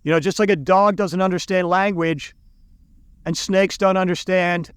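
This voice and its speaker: A man's voice: high-pitched, fairly even intonation, normal speed, clean tone, no room sound, clean studio sound. A middle-aged American man, a college teacher, giving a loose, rambling talk to camera with restarts and asides. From United States. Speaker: college teacher and video lecturer.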